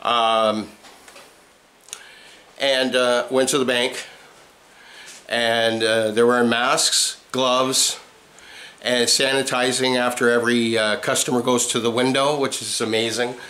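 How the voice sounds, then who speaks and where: A man in his fifties, chatting casually to camera from his apartment, relaxed and rambling with plenty of "uh" fillers. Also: medium pitch, slow pace, long pauses. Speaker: vlogger. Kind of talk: casual vlog chat. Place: apartment at home, indoors. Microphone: handheld camera mic, close.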